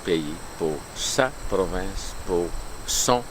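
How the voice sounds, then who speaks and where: A man giving an unscripted personal statement on his political views, with halting, deliberate phrasing. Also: low-pitched, animated. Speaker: local resident giving a personal testimonial. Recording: clear outdoor nature noise, insects.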